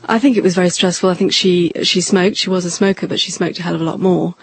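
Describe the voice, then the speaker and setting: A middle-aged or older person with a gravelly voice, recalling the past in a halting, subdued interview style. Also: mid-range voice, steady tone, soft background music. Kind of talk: reflective interview reminiscence.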